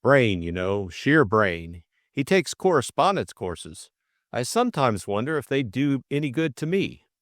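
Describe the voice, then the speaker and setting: A man voicing a breezy, excitable young English gentleman sleuth in an audiobook, full of upper-class slang and exclamations. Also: mid-range voice, measured pace, dry recording, clean studio sound, pitched above the performer's own voice.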